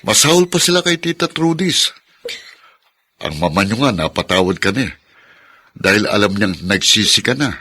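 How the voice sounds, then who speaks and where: A man's voice: lowish voice, very animated, normal speed, long pauses, sharp tone, slightly rough, heavily acted. A Filipino man playing a protective older male cousin in a radio drama, earnest and sympathetic. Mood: indignant. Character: protective, consoling older cousin, indignant on her behalf.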